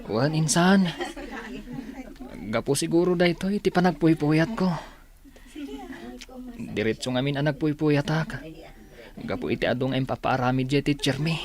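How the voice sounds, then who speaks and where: A man's voice: on the high side, moderate pace, pauses often, darkish tone, natural voice. Guy acting as sick tired young student, weak voice, radio comedy drama. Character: weary, ailing young male student cousin.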